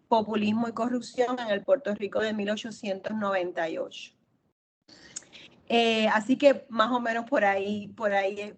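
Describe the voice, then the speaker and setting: A middle-aged woman from Cuba answering interview questions as an author, explaining at length and repeating phrases for emphasis. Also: fairly high pitch.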